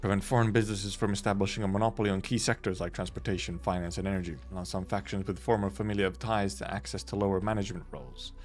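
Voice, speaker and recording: A man reading the lines of a male city official in a game's story, in a measured, formal way. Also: deep voice, steady tone, a little mellow, faint music behind, close to an everyday voice. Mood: earnest. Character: male official weighing hard choices. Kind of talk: live reading of game dialogue.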